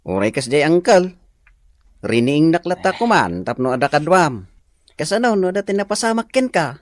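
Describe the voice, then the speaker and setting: An actor playing a caring, dutiful young nephew in an Ilocano radio drama, coaxing and concerned, with an Ilocano accent. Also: male voice, a little high, sing-song, moderate pace, no echo, studio quality.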